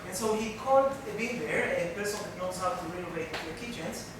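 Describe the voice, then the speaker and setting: A man's voice: high voice, expressive, brisk. A man from Israel with an Israeli accent, giving a workshop lecture in English, speaking carefully and restarting phrases often. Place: large lecture room. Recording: very echoey room, distant mic, noisy.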